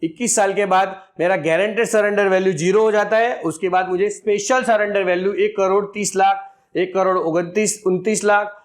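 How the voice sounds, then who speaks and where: A financial advisor in his forties explains an insurance plan to his viewers. He speaks in a high-pitched voice and works through each figure step by step. He calls his audience friends as he goes. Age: 40s.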